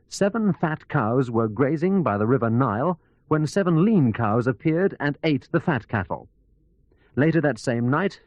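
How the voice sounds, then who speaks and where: A man's voice: medium pitch, a little mellow, no echo, highs cut off, close to an everyday voice. A man playing a radio news correspondent, narrating a scripted background report in a formal, articulate storytelling manner. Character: male news correspondent recounting a biography for radio.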